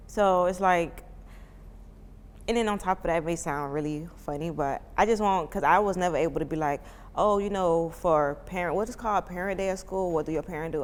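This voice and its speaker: A woman's voice: fairly low pitch, big-room sound. A woman in her twenties from Miami, a parent giving casual interview answers in a chatty way, full of "like" fillers. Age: 20s. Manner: chatty, many "like" fillers, relaxed informal speech.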